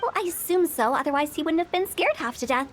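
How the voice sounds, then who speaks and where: A woman's voice: sits high, expressive, fast talker, slight background noise. An actress playing a mischievous, self-assured young woman who runs a funeral parlor, teasing and giggly. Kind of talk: video game voice acting.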